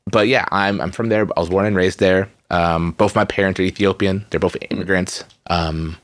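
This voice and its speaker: Laid-back guest musician, man in his thirties, lowish voice, dry close sound. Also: moderate pace, no room sound. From Redmond, Washington. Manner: relaxed, rambling, frequent fillers and restarts, laughs easily. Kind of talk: casual interview.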